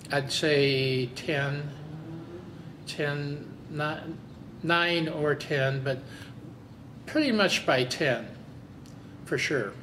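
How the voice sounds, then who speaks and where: Slow, hesitant man in his seventies. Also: on the high side, a bit bright, slight background noise. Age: 70s. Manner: hesitant, searching for words. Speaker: interviewee. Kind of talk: documentary interview.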